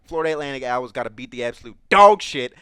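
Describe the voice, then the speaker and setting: A man's voice: mid-range voice, animated, quick pace, fairly bright tone, clear, resonant tone, no echo, lightly acted. A man playing a brash, profane male college football coach who brags and swears while talking to an interviewer. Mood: crude teasing. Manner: boastful, crude, keeps addressing the host by name. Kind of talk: improvised comedy interview sketch.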